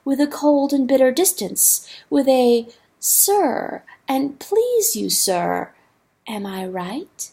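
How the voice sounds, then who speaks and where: A woman voicing a talking river that speaks mildly, with calm, gently mocking wisdom. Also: sits high, gravelly, slightly rough, voice pushed up, exaggerated voice. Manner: soft, measured, gently mocking. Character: calm, wise talking river, mild and knowing. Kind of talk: audiobook character voice.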